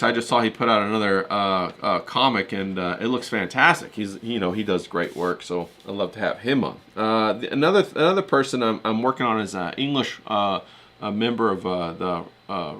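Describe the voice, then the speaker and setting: Male streamer, lowish pitch, very bright punchy tone, folksy and colloquial, chatty rambling solo delivery. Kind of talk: casual chatty livestream monologue.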